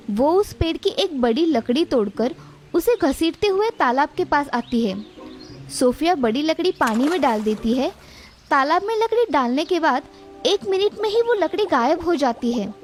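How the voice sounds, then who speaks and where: A woman's voice: high voice, darkish tone. A woman narrator reading a scripted moral story for children.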